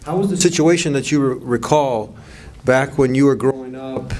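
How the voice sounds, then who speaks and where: A man's voice: mid-range voice, moderate pace, a little mellow. A man hosting an interview session, asking friendly prompting questions. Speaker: interview host. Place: mosque event.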